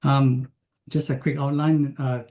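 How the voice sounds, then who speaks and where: A man from Malaysia giving an online science lecture, speaking with frequent ums, pauses and restarts. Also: mid-range voice, little pitch movement, warm tone. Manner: hesitant, many ums and restarts.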